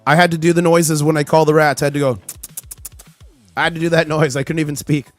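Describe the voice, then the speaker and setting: Male livestream host, chatty and excitable, voice just back after being lost, faint background music. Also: a little high, fast. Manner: chatty, reads chat aloud, excitable. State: recovering from lost voice. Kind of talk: casual live market commentary.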